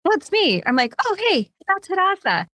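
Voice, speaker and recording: A woman co-hosting a podcast in casual chat, bubbly and lively. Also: normal speed, no echo, clean studio sound. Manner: bubbly, lively, jumps up in pitch. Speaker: podcast co-host.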